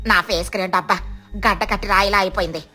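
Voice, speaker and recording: A woman's voice: medium pitch, fairly even intonation, fast talker, pushed and bright, faint music behind, voice pushed down. A woman voicing a vain, glamour-obsessed crow in a cartoon story, fretful and melodramatic. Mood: fretful, shivering.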